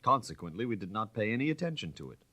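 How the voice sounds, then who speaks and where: A man's voice: lowish voice, sing-song, quick pace, pushed and bright, no echo, pitched above the performer's own voice, full character voice. A man voicing an impatient, defensive aristocratic count in an audiobook story, clipped and insistent. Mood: defensive, grudging.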